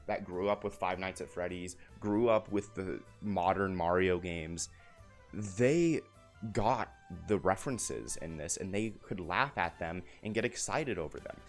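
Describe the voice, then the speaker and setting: Low-pitched young man, enthusiastic fan commentary. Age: young adult. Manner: enthusiastic, emphatic fan talk with repeated phrases. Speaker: video essay host. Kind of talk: casual opinionated commentary to viewers. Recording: music bed behind the voice.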